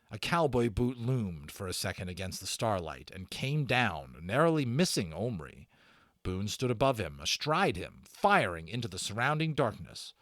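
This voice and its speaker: A male narrator with a slightly low voice reads an adventure story aloud. His pitch swings widely, and he leans into key words with theatrical stress and pauses. The recording is close and dry. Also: fairly bright tone, no room sound. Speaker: audiobook narrator and channel host. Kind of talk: dramatic read-aloud storytelling of a children's novel.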